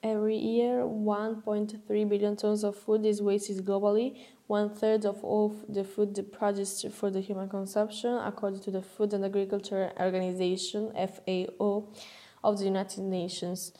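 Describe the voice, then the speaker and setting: Flat-voiced young woman. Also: mid-range voice, normal speed. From Italy. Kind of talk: reading facts aloud.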